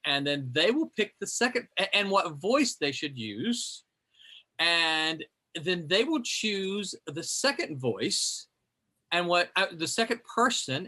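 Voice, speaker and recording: Bright, lively man hosting a call. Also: a little high. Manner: chatty, rambling with restarts. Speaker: host. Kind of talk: explaining a group reading game.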